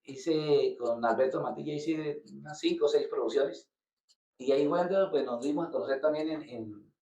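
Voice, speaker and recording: A man from Colombia, a musician being interviewed, talking casually and haltingly, often repeating words as he searches for them. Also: a little high, dark tone. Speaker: guest musician. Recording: roomy, distant, dull lo-fi sound.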